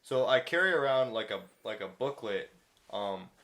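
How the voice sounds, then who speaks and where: A man with an average-pitched voice chats casually as a podcast co-host. He talks in an excitable, rambling manner and swears freely. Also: moderate pace. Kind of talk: casual chat.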